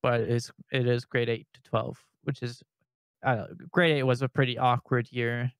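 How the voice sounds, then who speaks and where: A young man from Canada chatting as a podcast co-host, rambling with many restarts and nervous laughs, with a fairly high-sounding voice. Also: little pitch movement, moderate pace, clean tone, dry recording, clean studio sound. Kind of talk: casual podcast chat.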